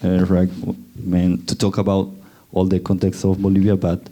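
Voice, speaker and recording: A man with a deep, mellow voice speaks in a group discussion. He talks hesitantly and fills his pauses with soft fillers. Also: completely flat delivery, highs cut off. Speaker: festival workshop participant. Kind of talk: spontaneous discussion remarks.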